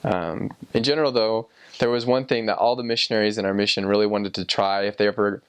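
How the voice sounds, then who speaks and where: A man's voice: on the low side, steady tone, quick pace, smooth. A young man telling personal experiences casually, in a rambling, informal way as if thinking aloud. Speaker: former missionary sharing experiences.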